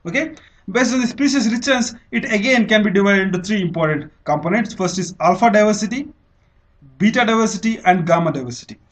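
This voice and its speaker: A man with a distinctly high voice and an Indian accent speaks as a teacher. He explains step by step in a didactic way, repeating phrases and pausing to check understanding. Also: lively intonation. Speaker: teacher, lecturer. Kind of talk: explanatory exam-prep lecture.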